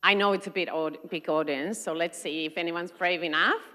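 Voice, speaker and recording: A woman's voice: fairly low pitch, steady tone, brisk, pushed and bright. A woman with a Finnish accent giving a friendly, engaging talk to a large conference audience as an education consultant. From Finland. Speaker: keynote speaker, education consultant.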